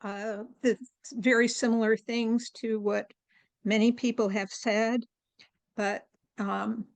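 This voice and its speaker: A woman giving an unscripted comment on an audio-only online call, halting as she searches for words. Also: a little high, little pitch movement, creaky, no echo. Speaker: audience participant.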